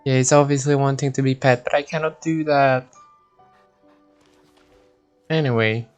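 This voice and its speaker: A young man live-streaming a game, casually commenting and reading aloud in a halting, stumbling way. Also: medium pitch, steady tone. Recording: game music behind the voice.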